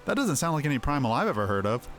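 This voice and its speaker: A man's voice: fairly high pitch, sing-song, very fast. A man voicing a naive, questioning young woman adventurer from a fantasy game, in plain, chatty speech. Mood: puzzled, doubting. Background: faint music.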